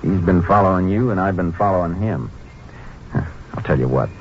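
A man playing a tough, calm, laconic Texas Ranger in a 1950s western radio drama. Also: low-pitched, a little hiss or hum. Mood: cool, steadying. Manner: laconic, unhurried, folksy. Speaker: lead actor and narrator. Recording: muffled old-time radio recording with music.

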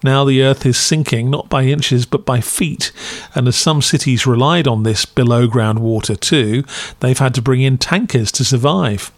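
A man's voice: medium pitch, normal speed, no echo. Wry male podcast reviewer, dry close recording. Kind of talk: scripted review narration.

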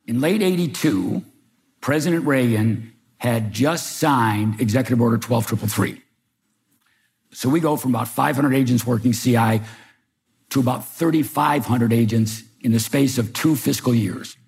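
An older man from Chicago, a former agent, telling his own story in wry, halting fashion at a public talk. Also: medium pitch, vocal fry, darkish tone. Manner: wry storytelling with hesitant restarts. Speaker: panel speaker, former FBI agent. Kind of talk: public talk, personal recollection. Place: museum event room.

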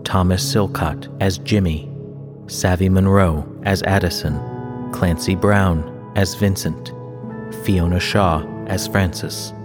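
A man's voice: sits low, steady tone, slow, long reverb tail, over music. A man reading scripted credits as an announcer.

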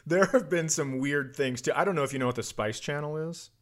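A man's voice: on the high side, a little fast. A man in casual comic banter, telling anecdotes in a rambling way and often interrupting himself. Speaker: comedy podcast host.

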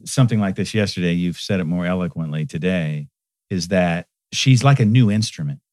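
Guy hosting an interview, gushing, cuts in a lot, kinda low voice, dead dry studio mic. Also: brisk, darkish tone, no room sound. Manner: effusive, eager, finishes the guest's sentences. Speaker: interview host. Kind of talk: casual interview chat. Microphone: studio microphone.

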